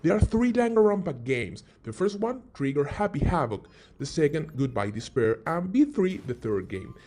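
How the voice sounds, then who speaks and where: A man narrates a scripted video as its host, his voice a little high and swinging widely in pitch. He leans on key words and slips in playful asides. Soft music plays behind him. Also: big pitch swings, moderate pace. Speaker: video host presenting game recommendations. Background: background music.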